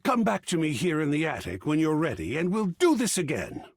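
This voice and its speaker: A man's voice: on the high side, wildly swooping pitch, fairly quick, husky, dry recording, studio quality, heavily acted. An actor voicing an effusive, grandiloquent art connoisseur in a video game, savoring his words with dramatic flair. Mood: eager, gracious. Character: effusive, theatrical art connoisseur, a living piece of artwork. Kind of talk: theatrical game character dialogue.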